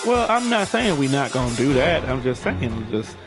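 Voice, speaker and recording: A man chatting casually in slangy banter as a radio show co-host, with music clearly playing behind. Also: mid-range voice, very animated, moderate pace. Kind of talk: casual chat.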